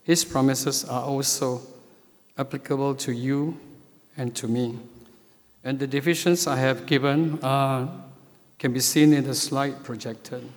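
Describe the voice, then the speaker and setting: Slow, measured man preaching in a hall. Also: medium pitch, steady tone. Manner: slow, measured, with frequent hesitations. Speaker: church elder preaching. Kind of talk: sermon. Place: church sanctuary. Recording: long hall reverb.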